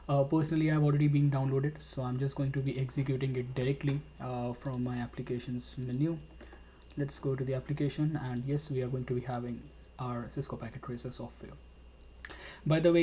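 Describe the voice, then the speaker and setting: A man leads a webinar over a computer mic, walking viewers through a screen demo. His delivery stays level and unhurried, with a warm, mellow tone, and he leans on fillers as he explains. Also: medium pitch, a little hiss or hum. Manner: steady explanatory talk with frequent fillers and "all right". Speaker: instructor.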